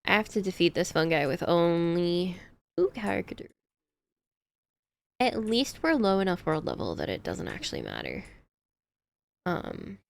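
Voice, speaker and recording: Chatty woman streamer with gravelly tone. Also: on the low side, huge pitch swings, measured pace, long pauses. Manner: chatty, muttering to herself while playing. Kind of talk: casual gameplay commentary.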